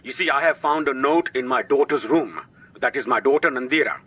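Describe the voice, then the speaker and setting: An actor playing a blustering, impatient, domineering father in a radio drama, husky, with a Southern African accent. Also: mid-range voice, a little fast, fairly bright tone, lightly acted. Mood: exasperated.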